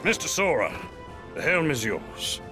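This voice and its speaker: A man's voice: a little high, sing-song, a little slow, music in the background. A man playing a seafarer testing a young recruit in a video game, formal and commanding. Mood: confident, challenging.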